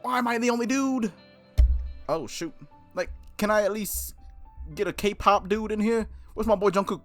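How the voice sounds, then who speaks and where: A man reading a visual novel aloud as a game streamer, expressive, with casual slangy reactions. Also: high voice, moderate pace, soft background music. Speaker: game streamer, let's-play host.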